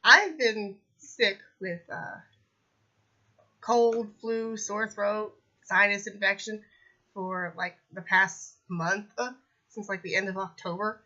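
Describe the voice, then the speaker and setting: Young woman, bright voice, sniffly from a cold, rambling casual chat, lots of fillers, lo-fi recording. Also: mid-range voice, steady tone, takes it slowly. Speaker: self-published author vlogging. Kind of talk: casual update vlog.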